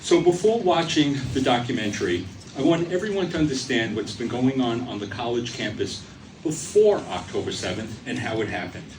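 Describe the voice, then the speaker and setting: A man's voice: medium pitch, expressive, moderate pace, background noise. Earnest man lecturing in a hall. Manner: earnest, emphatic delivery. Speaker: guest speaker. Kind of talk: public lecture. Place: theater auditorium. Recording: echoey hall from far off.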